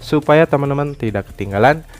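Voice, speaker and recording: A man's voice: medium pitch, fairly quick. A man narrates an app tutorial in an explanatory way, often tagging his sentences with a short "ya". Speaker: tech tutorial YouTuber. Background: background music.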